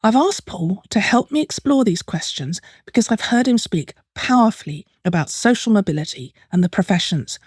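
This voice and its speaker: Brief: woman podcast host, measured and articulate, medium pitch, dry studio sound. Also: lively intonation, husky, no echo. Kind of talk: scripted narration then interview questions.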